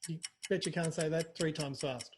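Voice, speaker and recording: A man with a notably high voice hosts a rapid comic interview. He teases his guest in a playful, mock-grand manner. Faint background noise sits under the recording. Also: steady tone. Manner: teasing, mock-formal and playful. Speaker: interview host. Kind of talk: scripted quick-fire comic interview.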